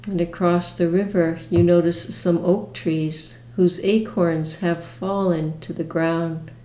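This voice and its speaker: A woman leading a guided meditation with imagery, speaking in a gentle, soothing manner with long pauses. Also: lowish voice, fairly even intonation, roomy sound, poor audio.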